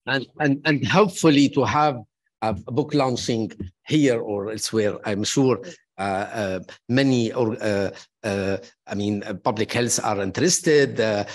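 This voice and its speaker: Halting man introducing academic speakers. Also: fairly low pitch. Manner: halting, many fillers and restarts; warmly praising, personal asides. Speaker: panel moderator introducing speakers.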